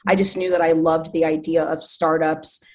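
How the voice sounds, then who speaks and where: A woman's voice: sits low, little pitch movement, normal speed, darkish tone. A woman in her thirties from Zimbabwe, a guest speaking casually and enthusiastically, with many fillers. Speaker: guest, artist manager. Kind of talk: casual interview conversation.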